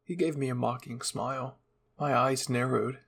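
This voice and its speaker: Casting: male narrator for fan fiction, scripted reading with an average-pitched voice. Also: fairly even intonation, normal speed, creaky, fairly bright tone, breathy edge. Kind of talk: scripted story narration, ASMR roleplay reading.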